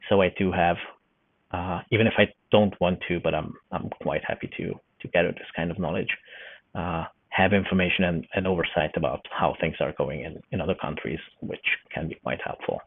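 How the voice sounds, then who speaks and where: Middle-aged Hungarian man, low and gravelly, pauses to find words; dry, tinny call audio. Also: dry recording. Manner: thoughtful, searching for words with many fillers. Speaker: podcast guest, newsletter founder. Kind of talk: casual interview talk.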